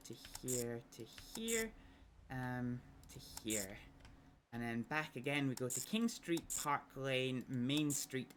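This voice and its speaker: A Scottish man of middling pitch chats casually while he plays a building game, thinking out loud and pausing often. He rambles between plans and replies to chat. A steady noise sits under his voice. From Scotland. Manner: thinks aloud, rambling with many ums. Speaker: streamer. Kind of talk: casual gameplay livestream commentary.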